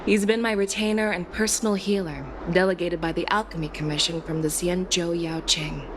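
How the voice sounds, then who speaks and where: A woman as a self-possessed, lowish-voiced war general. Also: steady tone, noisy background, natural voice. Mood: calm, familiar. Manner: measured, formal, matter-of-fact. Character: self-possessed female war general, candid, dutiful veteran. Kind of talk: scripted video game voice acting. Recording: music behind the voice.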